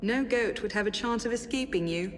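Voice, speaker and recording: An actress playing a spirited young French noblewoman in a video game, reading letters aloud in an expressive, measured, articulate way. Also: fairly high pitch, lightly acted. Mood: hopeful, reflective.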